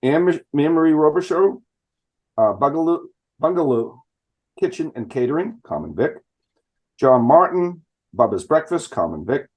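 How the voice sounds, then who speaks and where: A man's voice: fairly high pitch, normal speed, pauses often. A man, reading a list of license renewals aloud at a town select board meeting, with some fumbles and restarts. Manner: reads names in a flat list with fumbles and restarts. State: stuffed up from illness.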